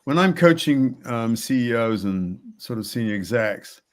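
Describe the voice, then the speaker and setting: Slow-paced male coach, measured and reflective, middle pitch. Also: animated. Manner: slow, measured, with repeated words and soft laughs. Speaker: executive coach. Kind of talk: reflective podcast conversation.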